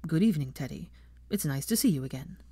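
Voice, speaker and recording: A woman's voice: sits low, expressive, quick pace, warm tone, breathy edge, dry recording. A woman voicing a smirking, dryly polite young man in a story reading.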